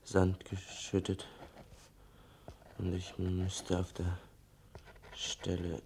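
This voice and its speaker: An actor playing an earnest, troubled son who confides haltingly in an absent mother, in a German radio play. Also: monotone, darkish tone, heavily acted. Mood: troubled, musing. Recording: old radio recording with rumbling noise.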